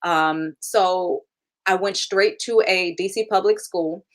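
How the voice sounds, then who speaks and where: A woman's voice: lowish voice, slow pace. Candid woman guest who laughs easily. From Germany. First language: German. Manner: candid, laughs often, many fillers. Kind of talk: casual interview.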